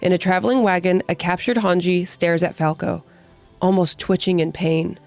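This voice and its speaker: A woman's voice: on the low side, fairly even intonation, normal speed, creaky, darkish tone. A woman with a slightly nasal voice, co-hosting and narrating on a podcast. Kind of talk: casual chat and scripted narration. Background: background music.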